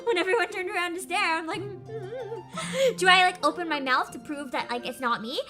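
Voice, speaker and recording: A woman hosting a casual chat stream, telling embarrassing stories in a high voice and laughing often. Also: normal speed. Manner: giggly, laughs often, animated storytelling. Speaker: VTuber streamer host. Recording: music playing behind.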